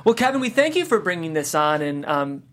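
A man's voice: a little high, very animated, a little fast, a bit bright. A man hosting a podcast talk after a script reading, speaking earnestly and with many fillers. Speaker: podcast host. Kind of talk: casual discussion after a table read.

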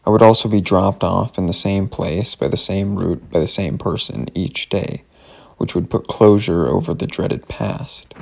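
A man's voice: deep voice, a little slow, gravelly, a little mellow. A man reading a scripted first-person story aloud, in the form of a personal letter. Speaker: reader of a written story.

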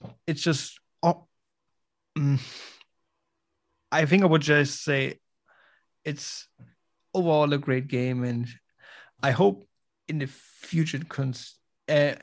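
Halting non-native man with gravelly voice. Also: on the high side. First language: not English. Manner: halting, stutters, restarts, laughs off slips. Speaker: guest. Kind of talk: casual gaming chat.

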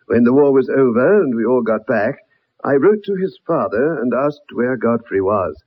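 Earnest, husky ex-soldier. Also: male voice, medium pitch, expressive, moderate pace. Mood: troubled, recounting. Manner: earnest, polite but insistent. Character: earnest, persistent young ex-soldier, troubled loyal friend. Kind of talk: 1960s radio drama acting, first-person narration. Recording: muffled old radio broadcast recording.

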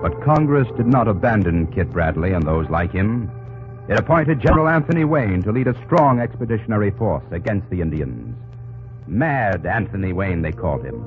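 Man, old-time radio narrator, declamatory, swinging intonation, muffled vintage broadcast, loud music behind. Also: on the low side, animated, moderate pace. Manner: grand, declamatory, dramatic. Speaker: narrator of a historical radio drama. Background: loud dramatic music score.

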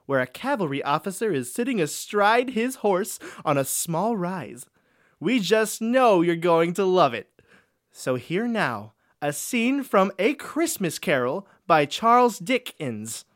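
A woman's voice: medium pitch, dry recording, clean studio sound. A woman playing a pompous, flirtatious male impresario who presents comic literary skits in a grandiose, giggly manner. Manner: grandiose, fawning, chuckling.

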